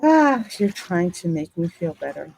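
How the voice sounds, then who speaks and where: A woman's voice: on the low side, normal speed, gravelly, slight background noise, low-quality recording. A woman hosting a game stream, reading the story aloud expressively and reacting casually. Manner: expressive, dramatic read-aloud with casual exclamations. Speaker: gaming channel host. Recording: music behind the voice. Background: game music.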